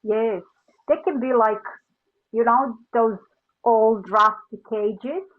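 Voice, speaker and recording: A Serbian-accented woman, a life coach, talking reflectively with many pauses and fillers. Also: on the high side. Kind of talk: reflective casual chat. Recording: phone-like narrow line.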